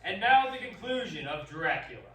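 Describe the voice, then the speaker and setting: Male program announcer, scripted radio-play links, bright high voice, roomy and distant. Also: sing-song, moderate pace. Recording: roomy, distant mic, faint noise.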